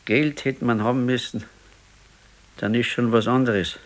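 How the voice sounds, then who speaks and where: A man's voice: mid-range voice, fairly even intonation, unhurried, natural voice. An actor playing a discontented, regretful husband in his sixties in a naturalistic radio play, talking plainly with a Bavarian accent. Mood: wistful. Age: 60s. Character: discontented, regretful ailing 68-year-old husband. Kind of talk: naturalistic 1970s radio play dialogue in dialect.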